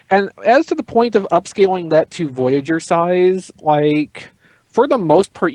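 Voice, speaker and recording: A man with a slightly high voice talks through his opinions in a casual panel discussion. He is analytical and measured, and the sound is dry and close. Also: darkish tone, dry recording, low-quality recording. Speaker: podcast panelist. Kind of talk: casual panel chat about toy collecting.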